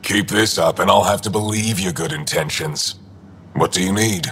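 A deep, gravelly alien resistance leader. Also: male voice, normal speed, a bit bright, slight background noise. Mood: dry, guarded. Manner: terse, dry, blunt. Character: gruff, guarded male alien resistance leader, war-hardened and cynical. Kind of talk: video game dialogue acting.

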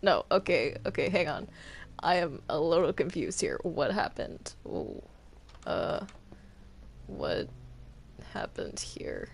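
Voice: mid-range voice, very animated, slow, vocal fry, long pauses. A player chatting casually and hesitantly during a multiplayer game roleplay session. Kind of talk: casual gameplay chat with roleplay. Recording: noisy home game recording.

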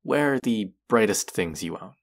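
A man's voice: lowish voice, lively intonation, a little slow. A man playing a condescending, sardonic young college student, the rude twin in a scripted audio roleplay. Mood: condescending, instructing. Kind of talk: scripted roleplay addressed to the listener.

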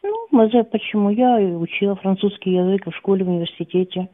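A woman's voice: mid-range voice, fast talker, no echo. Woman w/ on a tinny phone call, dry sound, just talking unscripted. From Donetsk, eastern Ukraine. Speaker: caller, schoolteacher of Russian. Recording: tinny narrowband phone line.

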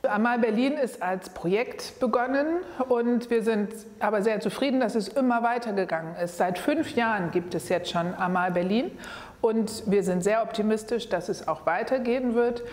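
A woman's voice: medium pitch, moderate pace, a little mellow. A middle-aged woman speaking in a documentary interview as the founder of a news platform. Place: indoor office room. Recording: long reverberant room echo.

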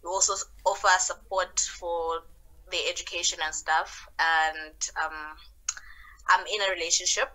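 A woman in her twenties introducing herself calmly and matter-of-factly on a video call. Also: on the low side, normal speed, rough recording. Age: 20s. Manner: calm, matter-of-fact. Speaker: guest. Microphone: laptop or phone on video call.

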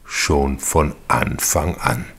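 Very deep, slow-paced male narrator. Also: gravelly, fairly bright tone. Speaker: narrator and channel host. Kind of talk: scripted narration of a retro crime radio play.

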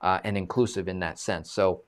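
A man in his thirties hosting a live video-call interview, animated and full of fillers, speaking from a small room. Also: deep voice, moderate pace. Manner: animated, gestures a lot, many fillers. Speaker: host. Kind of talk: casual live-stream interview. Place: small room, plain green wall. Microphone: video call webcam.

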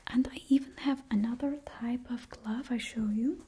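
A young woman in a put-on Russian accent, whispering slowly and closely as a stylist in an ASMR roleplay. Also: on the high side, fairly even intonation, warm tone, slightly rough. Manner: close, slow whispering with drawn-out words. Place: indoors before a studio backdrop.

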